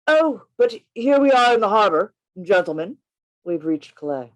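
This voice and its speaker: Woman playing a very animated, theatrical gentleman adventurer. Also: medium pitch, normal speed, lightly acted, low-quality recording. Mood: scheming. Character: bold, theatrical gentleman adventurer narrating his own tale. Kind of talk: radio play reading, first-person narration. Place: remote reading over a video call.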